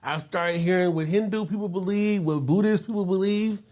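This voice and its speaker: A man's voice: high-pitched, moderate pace, a little mellow. A man in his fifties hosting a casual podcast, telling stories with frequent laughs and lots of "you know". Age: 50s. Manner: storytelling, laughs often, says "you know" a lot. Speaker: podcast host.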